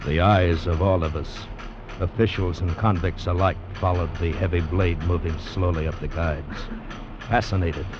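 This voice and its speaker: A grim male convict played by an actor tells his dark tale in a very low voice with solemn, deliberate drama. It sounds like a muffled old radio play, with music swelling behind him. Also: fairly even intonation, lightly acted. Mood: tense, suspenseful. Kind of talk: 1940s radio drama narration.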